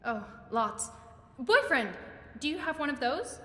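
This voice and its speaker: Eager, socially awkward young bookworm in a fantasy game. Higher register, rambling delivery, reverberant space. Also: female voice, very animated, unhurried, pushed and bright, heavily acted. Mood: eager, gushing. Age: young adult. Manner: gushing, rambling, self-correcting. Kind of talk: video game character acting. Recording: echoey hall, faint background noise.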